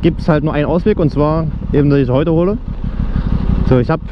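A man's voice: on the high side, brisk. A young man chatting casually while riding a motorcycle through town, rambling with lots of fillers and restarts, on a helmet headset mic. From Germany. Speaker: motovlogger. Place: on a motorcycle in city traffic, outdoors. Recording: muffled helmet mic with road noise. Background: motorcycle engine and traffic noise.

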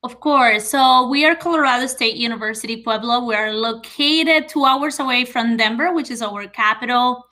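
A woman's voice: fairly high pitch, normal speed, few pauses, pushed and bright. A young woman from Venezuela, speaking as a university representative on a video call from her living room, explaining things to viewers in an upbeat, informative way. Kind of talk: friendly webinar presentation.

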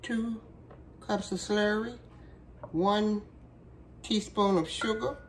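A woman's voice: mid-range voice, fairly even intonation, slow pace, very noisy. A woman hosting a home cooking video, chatty and folksy, her voice worn from a cold. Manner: chatty, folksy, with many fillers. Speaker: cooking show host. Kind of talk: casual cooking demonstration. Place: home kitchen.

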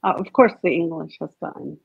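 A woman's voice: deep voice, brisk, vocal fry, husky, dry recording. A middle-aged woman from Egypt with a slight Egyptian Arabic accent, speaking as a guest on a video call and often restarting her phrases. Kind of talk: casual video-call interview. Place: home office. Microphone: webcam on a video call. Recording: dull, lo-fi video-call audio.

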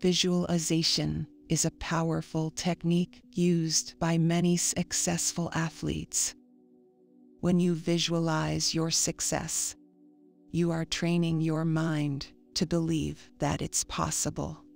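A woman reading a scripted guided meditation in a calm, soothing, measured manner. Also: deep voice, gravelly. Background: faint music.

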